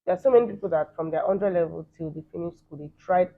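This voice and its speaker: A Nigerian man in the entertainment field giving quick, emphatic opinions in a casual interview. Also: a little high, vocal fry. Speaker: guest, entertainer. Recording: thin phone-like sound.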